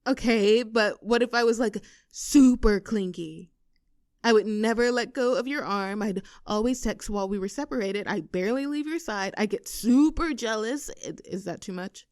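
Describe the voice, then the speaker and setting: A woman's voice: a little high, moderate pace, a little mellow, no room sound, clean studio sound, close to an everyday voice. A woman playing an affectionate, teasing young girlfriend in an intimate roleplay audio, coaxing and giggly in a conversational way. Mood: playful, mock insistent. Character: playful, clingy, affectionate young girlfriend teasing her partner.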